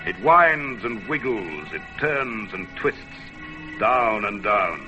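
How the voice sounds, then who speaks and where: A man's voice: fairly low pitch, expressive, slow pace, highs cut off, music in the background. A man playing a dramatic storyteller, narrating an old-time radio adventure in a theatrical, incantatory way. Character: dramatic male storyteller of a perilous mountain climb.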